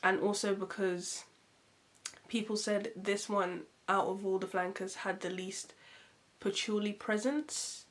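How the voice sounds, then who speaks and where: A woman's voice: medium pitch, unhurried. Nasal, chatty woman with a cold. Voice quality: nasal and muffled. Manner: chatty, chirpy and expressive, with lots of fillers. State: congested from a cold. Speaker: perfume reviewer. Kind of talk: casual vlog review.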